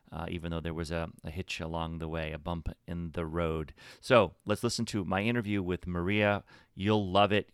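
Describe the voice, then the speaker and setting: Deep-voiced upbeat male podcast host. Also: a bit bright, clear, resonant tone, dry recording, studio quality. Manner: upbeat and encouraging, with fillers. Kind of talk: podcast interview.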